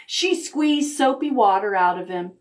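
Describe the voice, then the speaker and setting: A woman's voice: medium pitch, animated. A woman librarian reading picture books aloud to preschoolers, stopping to ask the children questions. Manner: gentle, deliberate storytime delivery.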